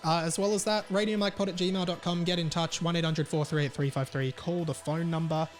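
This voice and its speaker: A man's voice: fairly high pitch, fairly even intonation, fairly quick, faint music behind. An Australian man hosting a podcast, talking casually on his own in a rambling, opinionated, sweary way. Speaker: podcast host. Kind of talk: casual solo podcast chat.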